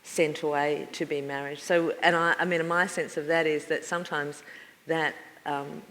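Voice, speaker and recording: Woman panelist, lowish voice, hesitant and considered, lecture-hall reverb. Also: flat delivery, normal speed, fairly bright tone, a little hiss or hum. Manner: thoughtful, hesitant with many fillers. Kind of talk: panel discussion.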